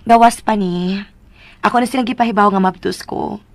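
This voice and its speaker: An actress playing a sweet, innocent young wife in a radio drama, with a coy, affectionate manner. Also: female voice, medium pitch, normal speed, vocal fry, no room sound, close to an everyday voice. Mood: surprised, innocent. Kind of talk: melodramatic radio drama acting.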